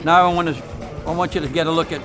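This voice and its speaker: A man with a notably high voice works the crowd at a tournament, joking and calling people over as he goes. Music runs underneath him the whole time, giving it the feel of a produced video segment. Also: little pitch movement, very fast. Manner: joking, boisterous. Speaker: on-site interviewer at a tournament. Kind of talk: loud roving street interview.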